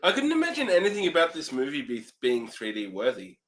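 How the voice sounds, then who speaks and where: A man's voice: medium pitch, wildly swooping pitch, a little fast, fairly bright tone. A man co-hosting a film review show, giving his commentary in casual conversation. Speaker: co-host of a movie review show.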